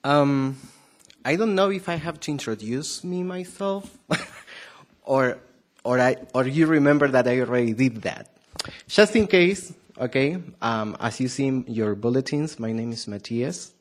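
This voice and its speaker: Slow-speaking Argentine man preaching. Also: medium pitch. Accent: Argentine Spanish. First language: Spanish. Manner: hesitant, many fillers and restarts, asks the audience questions. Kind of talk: sermon to a congregation.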